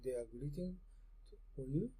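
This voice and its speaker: A man from Japan with a Japanese accent, speaking halting, fragmented English as a second language while insistently leading an informal discussion. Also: medium pitch, mellow, breathy edge. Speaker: interviewer, host.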